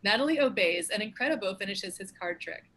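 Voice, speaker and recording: A woman's voice: mid-range voice, expressive, brisk, a crowd in the background. A woman narrator reading stage directions in a scripted narration style.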